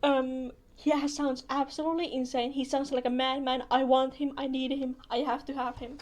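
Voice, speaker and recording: A woman streaming a video game with casual, excitable, gushing and repetitive reaction commentary. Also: high-pitched, normal speed.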